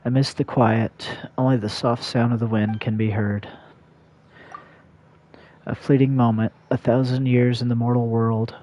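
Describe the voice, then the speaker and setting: A man's voice: mid-range voice, level tone, measured pace, mellow. A man livestreaming a video game, reading its dialogue aloud and adding casual commentary.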